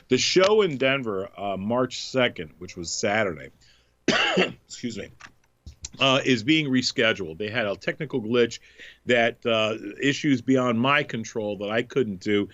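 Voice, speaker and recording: A man's voice: medium pitch, a bit bright, no echo, dull audio. A man hosting a comedy podcast, talking casually with a stuffed-up nose from a cold, jokey and full of fillers. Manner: chatty, jokey, many filler ums. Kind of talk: casual radio chat.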